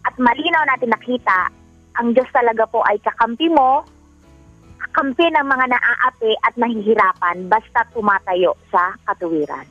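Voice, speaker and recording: A woman's voice: fairly high pitch, moderate pace, fairly bright tone, dry recording, highs cut off, soft background music. A woman with a Filipino accent co-hosting a radio advice show from her bedroom, earnest and motherly when giving advice. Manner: earnest and motherly when advising, giggly in banter. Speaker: radio co-host. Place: own bedroom.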